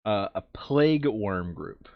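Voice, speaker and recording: A man's voice: fairly low pitch, expressive, slow, no room sound. A man chatting casually and thinking aloud as he plays a board game, sometimes muttering to himself, on a slightly dull lo-fi microphone. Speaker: board game player recording a playthrough.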